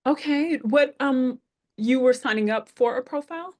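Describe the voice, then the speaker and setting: A woman's voice: sits high, normal speed, darkish tone. A woman hosting an online group call, welcoming people in an upbeat way with frequent fillers. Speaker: head of operations, meeting host.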